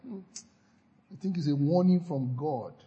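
A man's voice: on the high side, takes it slowly, dark tone, slightly rough, lo-fi sound. A man preaching a sermon, exhorting his listeners and leading them in repeated declarations with frequent hallelujahs. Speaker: pastor. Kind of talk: sermon with call-and-response declarations.

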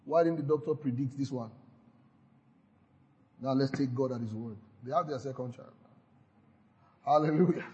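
A man's voice: mid-range voice, normal speed, long pauses, a little mellow, dull audio. A man preaching a sermon, exhorting his listeners and leading them in repeated declarations with frequent hallelujahs. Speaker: pastor. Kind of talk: sermon with call-and-response declarations.

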